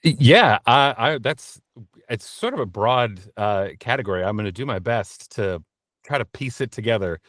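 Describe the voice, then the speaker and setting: A man giving casual, conversational interview answers as a voice actor guest, often halting and correcting himself as he tells his story. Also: medium pitch, expressive, fairly bright tone, no room sound, studio quality.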